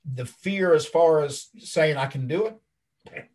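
A man in his late fifties with a husky voice, chatting casually and talkatively as an interview guest, self-assured and full of anecdotes. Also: on the high side, takes it slowly, a little mellow. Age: late 50s. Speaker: interview guest, wrestling commentator and video creator.

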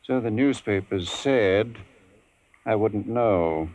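An actor playing a wary, intelligent sanatorium patient in a 1950s radio drama, measured and knowing. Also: male voice, lowish voice, darkish tone. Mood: guarded. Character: wary, intelligent, sardonic long-term male sanatorium patient. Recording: old radio broadcast.

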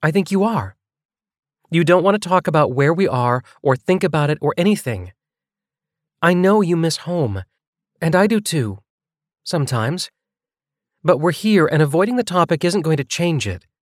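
Earnest young woman, played by a male narrator, lifted pitch, direct and challenging, close dry audiobook sound. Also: big pitch swings, moderate pace, long pauses, no echo. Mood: forceful, frustrated.

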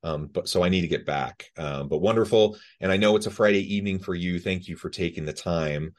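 Deep-voiced male podcast host. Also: a little fast. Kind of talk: podcast interview.

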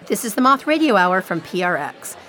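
A woman's voice: mid-range voice, sing-song, brisk, pushed and bright, a faint crowd. A woman with an American accent hosting a radio program in scripted narration. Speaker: radio show host.

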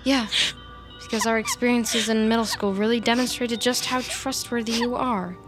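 A woman's voice: on the high side, steady tone, moderate pace, close to an everyday voice. An actress playing an unstable, defensive woman with a cold violent streak, delivered naturalistically in an audio drama. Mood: cold, tense. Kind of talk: audio fiction drama acting. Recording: music clearly underneath.

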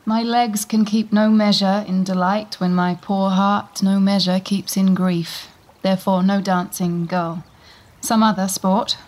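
A woman playing a sorrowful queen in a Shakespeare radio play, gently chiding her attendant. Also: mid-range voice, level tone, a little slow, close to an everyday voice. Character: grieving young queen in a garden, melancholy.

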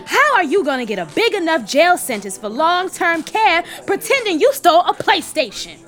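A blunt, sarcastic female friend in a comedy audio drama. Her voice is very high and bright, swinging freely as she teases and scolds with brash scorn. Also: sing-song, normal speed, faint music behind, exaggerated voice. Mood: scornful, exasperated.

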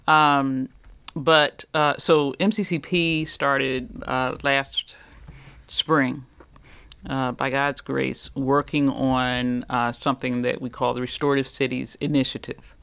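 A man who is a pastor, a guest on a podcast, talking in a quick, rambling way with many fillers. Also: medium pitch, fairly even intonation, vocal fry, sharp tone, no room sound. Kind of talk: casual conversation.

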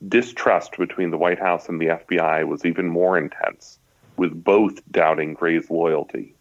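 A man's voice: low voice, fairly even intonation, normal speed, dry recording. An American man, a guest author, reads a passage of his nonfiction book aloud. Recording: slightly dull, lo-fi audio.

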